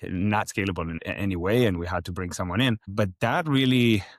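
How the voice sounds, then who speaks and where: A man, a founder talking reflectively as a podcast guest, pausing often with fillers. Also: low voice, dry recording, studio quality. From Israel. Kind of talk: podcast interview.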